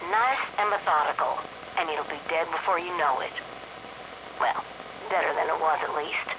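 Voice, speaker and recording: Through clear noise and a muffled radio sound comes a man acting a wry field expert on monsters. His voice is rather high for a man. He lectures soldiers in folksy, colloquial style, as in a game audio log. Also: moderate pace, lightly acted. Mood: wry, instructive. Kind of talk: game audio log, mock classroom briefing.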